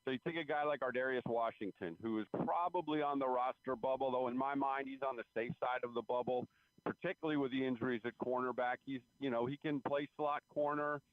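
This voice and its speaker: A man giving measured, explanatory sports analysis as a beat writer guest, over a tinny phone line. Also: medium pitch, steady tone, no room sound. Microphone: phone call.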